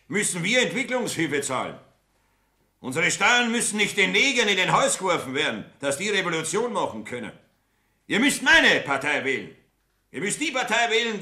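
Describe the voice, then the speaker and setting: An actor playing a self-important populist politician in a German radio play, declaiming emphatically with a Bavarian accent in an echoey room. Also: male voice, high voice, bright, forceful tone, heavily acted.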